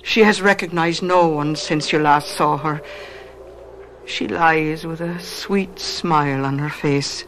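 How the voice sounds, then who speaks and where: An actress playing a loyal, outspoken housekeeper who narrates earnestly and dramatically in a 1970s radio drama. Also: female voice, low-pitched, steady tone, takes it slowly, a bit bright, slight background noise, exaggerated voice, poor audio. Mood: gentle. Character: loyal, outspoken housekeeper telling a tragic tale. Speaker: narrator and character.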